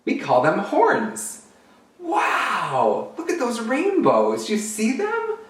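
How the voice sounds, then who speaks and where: A drag queen storyteller voicing friendly, excitable unicorns from a picture book, with drawn-out exclamations. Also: mid-range voice, far from the mic, pitched above the performer's own voice. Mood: excited, welcoming. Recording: roomy, echoey, away from the mic.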